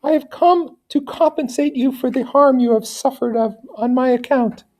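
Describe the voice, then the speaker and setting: Man doing a high-pitched gracious storybook lady. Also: moderate pace, darkish tone. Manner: formal, courteous. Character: loving noblewoman mother, gracious, feminine, high-voiced. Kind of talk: storybook character reading.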